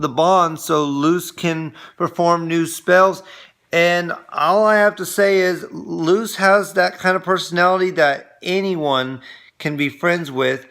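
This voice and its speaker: A man reviewing an episode in casual chat, explaining things earnestly and haltingly as he goes. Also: sits high, pushed and bright, clean tone.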